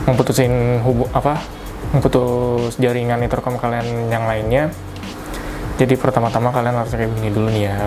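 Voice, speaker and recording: Casting: young adult male, medium pitch, informal product-tutorial delivery with colloquial tags and self-corrections, over a clear music bed. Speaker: product reviewer giving a tutorial. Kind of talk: casual step-by-step tutorial.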